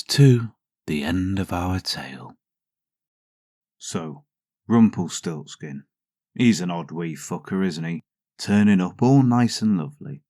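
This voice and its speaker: Deep guy narrating stories, wry and theatrical, super dry close sound, really animated. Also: no room sound. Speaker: storyteller, show host. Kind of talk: dramatic fairytale narration with sardonic commentary.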